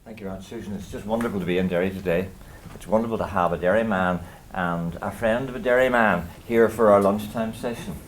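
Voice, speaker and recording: A man's voice: fairly low pitch, steady tone, fast talker. A man introducing a lunchtime event and welcoming the guests and speakers. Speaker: event host from the peace project. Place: outdoor garden event.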